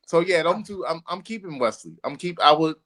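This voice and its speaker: A man's voice: on the high side, expressive, fairly quick, fairly bright tone. An American man co-hosting a casual podcast among friends, speaking emphatically and often repeating himself for effect. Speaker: podcast co-host.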